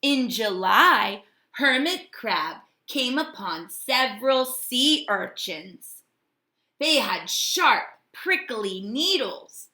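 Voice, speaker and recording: A woman teacher giving a read-aloud lesson to young children, speaking in a deliberate, instructive way. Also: fairly high pitch, very animated, bright, forceful tone. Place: home kitchen. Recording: echoey domestic room.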